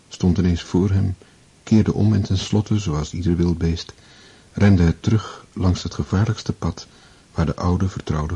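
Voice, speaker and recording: An actor as the solemn narrator of a literary war epic in a radio drama, telling it in a measured way. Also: male voice, low voice, dark tone, breathy edge, highs cut off.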